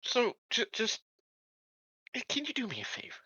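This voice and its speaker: A man's voice: very high-pitched, huge pitch swings, normal speed, sharp tone, husky, no room sound, pitched above the performer's own voice, exaggerated voice. A man voicing an earnest, secretive non-human colony security officer in a tabletop game, polite and hesitant, on a dull, dry recording.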